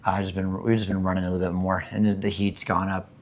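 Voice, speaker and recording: Man, very low voice, hoarse after an illness, casual rambling chat, lots of ums. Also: flat delivery, fast talker, slight background noise. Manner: rambling, many fillers and restarts. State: hoarse after being sick. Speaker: vlogger. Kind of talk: casual chat about living costs. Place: home in the Philippines.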